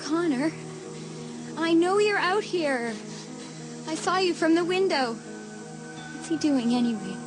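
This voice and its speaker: A woman's voice: very high-pitched, animated, slow pace, pauses often, music in the background, exaggerated voice. An actress playing an uneasy teenage girl in a dramatised audiobook, with an anxious, brooding manner. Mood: frightened calling.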